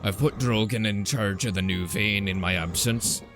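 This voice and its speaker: A man puts on a low-voiced dwarf master from a fantasy storybook. He is gruff and plainspoken, with faint music underneath. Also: normal speed, fairly bright tone, lightly acted. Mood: measured, gruff. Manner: plainspoken, grumbling, hesitant at times.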